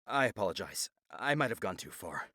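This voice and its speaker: Fantasy visual novel role, male actor: composed authority figure, deep voice, formal, courteous delivery. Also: very animated, pushed and bright, no room sound, studio quality, full character voice. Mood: composed, apologetic. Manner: formal, measured, polite.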